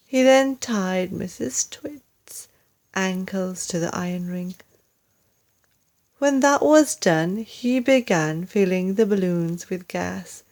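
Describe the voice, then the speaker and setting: A woman narrating a children's story in a deliberate, expressive storytelling manner. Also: medium pitch. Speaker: storybook reader, English teacher.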